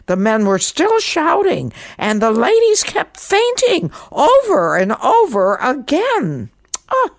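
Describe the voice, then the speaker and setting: A woman narrating a children's story in an expressive, theatrical and emphatic way. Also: mid-range voice, no echo, clean studio sound. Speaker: storyteller, guest podcast host.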